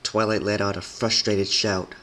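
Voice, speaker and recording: A man's voice: lowish voice, level tone, normal speed, pushed and bright. A man giving a dramatic, scripted reading of a story as its narrator.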